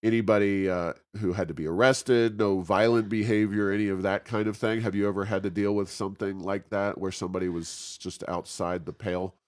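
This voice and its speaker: A man's voice: on the low side, fairly even intonation, fairly quick, few pauses, darkish tone. A man with an American accent hosts a casual interview, asking meandering, conversational questions with many hesitations and ums. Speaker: interview host.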